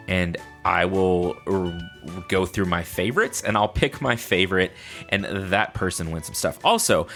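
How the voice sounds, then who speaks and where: A man's voice: on the low side, a bit bright. A man hosting a casual solo podcast, chatting informally and playfully. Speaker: podcast host.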